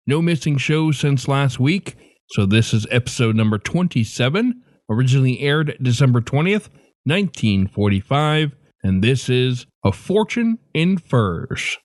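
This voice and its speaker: A man's voice: medium pitch, sing-song, moderate pace, no room sound, studio quality. A man hosting a podcast, reading scripted announcements and introducing the show. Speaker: podcast host.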